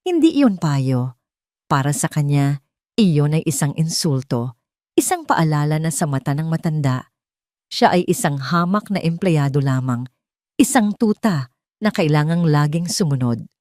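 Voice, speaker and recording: Woman telling a dramatic story, low voice, lots of pitch movement. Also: animated, a little slow, darkish tone, dry recording, studio quality. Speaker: story narrator. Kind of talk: dramatic scripted narration.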